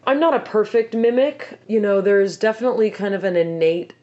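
A woman with a rough, scratchy voice answering interview questions as a voice actor, chatty and full of fillers. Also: mid-range voice, lively intonation, a little fast, lo-fi sound.

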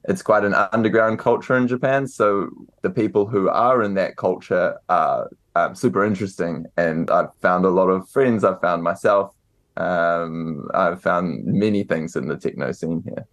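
A young man with a New Zealand accent talks in a low, deep register. He answers in a loose, conversational way, circling back with ums and restarted phrases as he thinks. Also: normal speed, a bit bright. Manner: hesitant, many ums and restarts. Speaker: author and guest. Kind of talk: casual interview answers.

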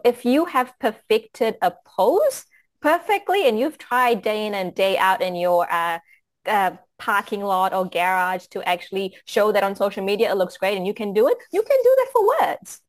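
A woman from Fiji who teaches yoga, speaking in an interview with hesitant, filler-heavy phrasing that rises when she presses a point. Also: medium pitch. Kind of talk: casual interview talk.

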